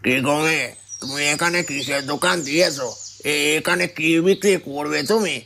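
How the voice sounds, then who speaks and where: Man voicing a gravelly, curious village uncle. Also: a little high, normal speed, pushed and bright, soft background music. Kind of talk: fairy-tale cartoon voice acting. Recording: noisy track with birdsong.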